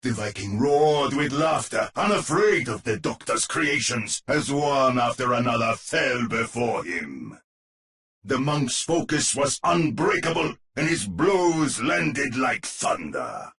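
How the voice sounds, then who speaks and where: An actor playing a grave, ominous storyteller narrating a gothic horror tale for a video game, with solemn theatrical delivery. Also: male voice, medium pitch, sing-song, slow pace, gravelly, a bit bright, full character voice. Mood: dramatic, intense.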